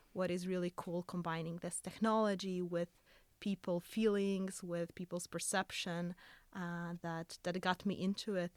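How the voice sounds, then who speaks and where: Young woman, Croatian accent, smooth resonant tone, eager researcher guest, dry recording. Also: fairly low pitch, no room sound. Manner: earnest, eager, slightly broken English phrasing. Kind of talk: casual interview talk.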